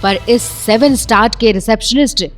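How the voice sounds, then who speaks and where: Woman narrator, dramatic audio drama storytelling, fairly high voice, soft music behind. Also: moderate pace.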